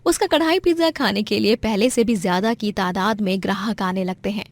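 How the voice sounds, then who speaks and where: A woman's voice: mid-range voice, fairly quick, no room sound. A woman narrating a scripted moral story in a dramatic storytelling style.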